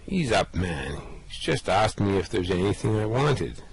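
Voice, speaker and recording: A man's voice: low-pitched, big pitch swings, a little slow, slightly rough, dry recording, heavily acted. A man voicing a laid-back, slightly dim male space adventurer who drawls in a slack, easygoing way, in an amateur radio play reading.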